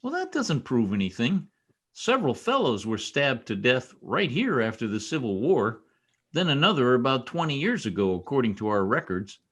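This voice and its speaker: Guy doing joking easygoing cop, old radio play, kinda raspy, bouncy intonation. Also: mid-range voice, sing-song, normal speed, a bit bright. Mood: matter-of-fact. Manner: teasing, casual. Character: joshing, easygoing state trooper. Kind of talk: old-time radio drama acting.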